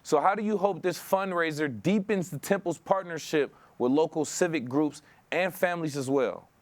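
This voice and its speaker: A man in his thirties hosts a broadcast interview in a conversational way. His voice sits a little high, and the lapel mic picks it up very dry in the studio. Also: normal speed, dry recording. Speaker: TV and radio show host. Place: indoor studio.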